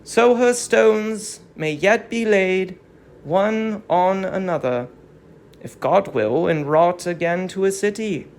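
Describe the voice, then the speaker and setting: Performer acting a slow, creaky, dignified old queen. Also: mid-range voice, darkish tone. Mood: grave, counselling. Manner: slow, stately, declamatory. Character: aged fallen queen Hecuba, sorrowful, resigned, dignified. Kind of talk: dramatic reading of classical Greek tragedy.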